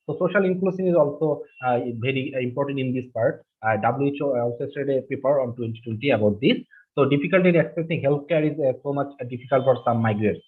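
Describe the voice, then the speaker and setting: A man from Bangladesh whose first language is Bengali, presenting slides in a webinar, halting with many fillers and restarts. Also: mid-range voice. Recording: husky, phone-like online call audio.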